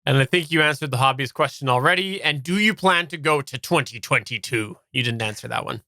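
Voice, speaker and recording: Bright-voiced man hosting a podcast. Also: mid-range voice, fairly quick, no echo, clean studio sound. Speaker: podcast host asking questions. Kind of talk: casual live chat hosting.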